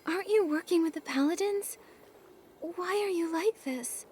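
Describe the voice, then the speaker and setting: An actress playing an earnest, inquisitive young heroine in a video game, speaking in a questioning way. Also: female voice, very high-pitched, normal speed, a little mellow, slight background noise. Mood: puzzled, concerned. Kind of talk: video game cutscene acting. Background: music.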